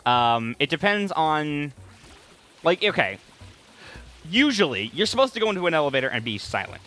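A man doing casual gaming commentary, talking in an emphatic, excitable way and repeating words for emphasis. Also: on the high side, normal speed. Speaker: gaming video creator. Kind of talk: casual co-commentary over gameplay.

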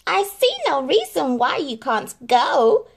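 Storybook villain: haughty, sly stepmother, high-pitched and swooping, sneering orders. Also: big pitch swings, slow, vocal fry, exaggerated voice. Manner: sneering, demanding.

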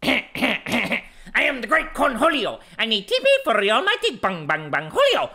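A man's voice: very high-pitched, wildly swooping pitch, moderate pace, pushed and bright, exaggerated voice. A man voicing a manic cartoon teenage boy who rants nonsense.

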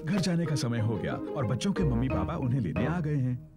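A man giving calm, scripted narration for a children's cartoon. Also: medium pitch, a little fast. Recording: cartoon soundtrack with music.

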